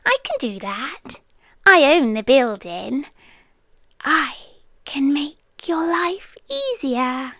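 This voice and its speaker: A possessive British yandere landlady acted by a woman in an intimate ASMR roleplay. Her high, soft, breathy voice moves very slowly and often slips toward a whisper. She coaxes with quiet menace, very close and dry on the mic. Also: very animated, no room sound, exaggerated voice. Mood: coaxing, possessive. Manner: slow, intimate, coaxing, possessive. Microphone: close, dry microphone.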